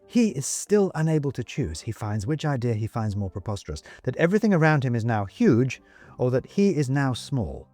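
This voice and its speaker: Male audiobook narrator, wry and deliberate, very expressive mid-range pitch, dry recording over soft music. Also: no room sound. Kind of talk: scripted dramatic storytelling.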